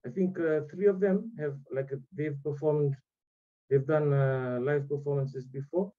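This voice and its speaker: A man in his thirties with a Fijian accent, giving halting, easygoing interview answers as a guest over a video call. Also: on the high side, steady tone. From Rotuma, Fiji. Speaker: guest, music producer. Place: small room indoors, on a video call. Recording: dull video-call audio.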